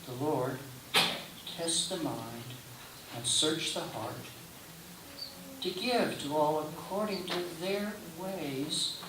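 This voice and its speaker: A man reads scripture solemnly and very slowly, declaiming each short phrase and pausing between them. He is recorded at some distance in an echoey space, with clear hiss behind the voice. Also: fairly high pitch. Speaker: scripture reader. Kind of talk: solemn liturgical scripture reading. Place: roomy indoor church gathering space. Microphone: distant microphone.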